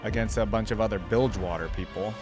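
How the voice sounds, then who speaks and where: Low-voiced man giving casual reaction commentary. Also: quick pace, music in the background. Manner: thinks out loud, reads ability text aloud. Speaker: reaction video host.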